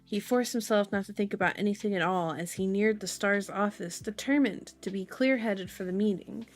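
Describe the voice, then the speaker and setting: A woman's voice: mid-range voice, moderate pace, soft background music. A woman hosting an ASMR book reading, playful and chatty, mixing narration with casual asides. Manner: playful, chatty, strays from the text into comments. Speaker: host of an ASMR channel.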